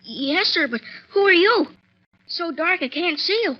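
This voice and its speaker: Woman as a very high-voiced frightened little boy. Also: animated, a little slow. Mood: scared, tearful. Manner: whimpering, pleading, polite. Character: frightened, tearful young boy held captive, polite. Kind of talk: 1930s radio thriller acting. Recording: muffled old 1930s radio recording.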